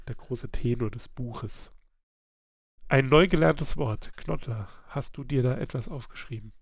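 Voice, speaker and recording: A man with a gravelly edge hosts a relaxed book club podcast while nursing a slight cold. His voice is dry and close, with nothing around it. He chats loosely, laughs often and fills pauses with little hesitation sounds. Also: medium pitch, no echo. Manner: chatty, laughs often, many filler sounds. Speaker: podcast host.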